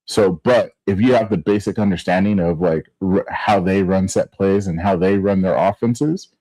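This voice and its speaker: A man's voice: deep voice, normal speed, dark tone, dry recording, rough recording. A man co-hosting a podcast, explaining basketball in a laid-back way, dropping bro and man. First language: Spanish. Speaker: podcast co-host. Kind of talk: casual sports chat.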